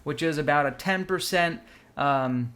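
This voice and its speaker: Higher-pitched man doing game commentary. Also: unhurried, a bit bright. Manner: announcer-like hype, addresses viewers as folks. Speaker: gaming video host.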